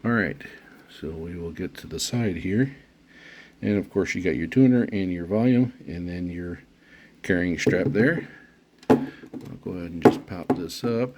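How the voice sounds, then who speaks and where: A man giving unscripted unboxing commentary as a product reviewer, speaking haltingly with frequent pauses mid-phrase. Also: deep voice, a little mellow, slight background noise.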